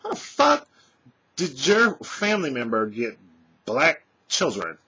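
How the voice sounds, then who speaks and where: Vlogger in his thirties, animated storyteller with a slightly husky voice, talking straight into a webcam at home. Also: on the high side, slow pace. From California. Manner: animated, gestures a lot, swears freely. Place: small room at home, indoors. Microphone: webcam at desk distance.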